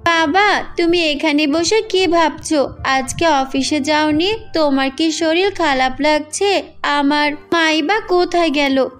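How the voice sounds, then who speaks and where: A woman's voice: high voice, takes it slowly, faint music behind. A woman voicing an affectionate college-age daughter in a cartoon fairy tale. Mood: concerned.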